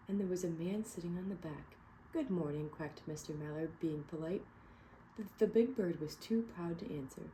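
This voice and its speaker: A woman reading a picture book aloud to children as a teacher, lively and encouraging, stopping to ask them questions. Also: medium pitch, slight background noise, poor audio.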